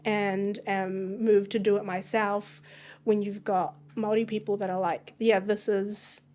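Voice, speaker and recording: A woman's voice: medium pitch, flat delivery, a little slow, darkish tone. A woman from Iraq, a podcast guest, talking reflectively and searching for words in a casual interview.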